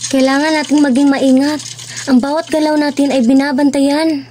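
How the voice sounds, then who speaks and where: Cautious, high-voiced activist played by a woman. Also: little pitch movement, normal speed, warm tone, close to an everyday voice. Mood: serious, guarded. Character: cautious, serious underground activist comrade. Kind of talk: amateur radio drama acting. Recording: faint noise, rustling ambience behind.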